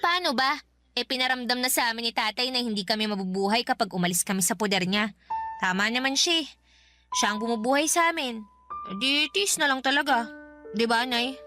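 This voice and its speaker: A woman's voice: a little high, fairly quick, close to an everyday voice. A woman playing an outspoken, jokey young daughter and loyal best friend in a Filipino radio drama, with slangy, teasing delivery and a Filipino accent. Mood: breezy, frank. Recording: music bed behind the voice.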